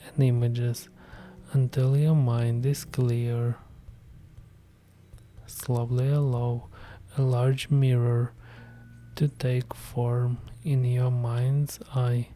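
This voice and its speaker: A man guiding a meditation in a soft, hushed, whispery voice. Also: medium pitch. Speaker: meditation guide. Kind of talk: guided meditation narration.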